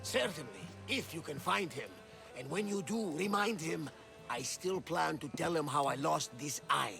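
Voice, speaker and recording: Hearty one-eyed lieutenant, gravelly, animated, music underneath. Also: male voice, fairly high pitch, moderate pace. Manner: hearty, proud, lively. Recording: game cutscene with music.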